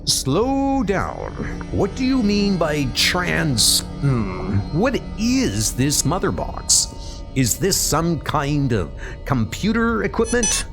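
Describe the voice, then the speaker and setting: A man's voice: a little high, wildly swooping pitch, takes it slowly, creaky, music in the background, full character voice. A man voicing a young superhero in a comic book dub, flustered, impatient and indignant, with an agitated colloquial delivery.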